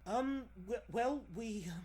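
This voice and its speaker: A man's voice: extremely high pitch, takes it slowly, darkish tone, heavily acted. A man playing a meek young reporter, hesitant, apologetic and stammering, in 1940s radio style. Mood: nervous, meek.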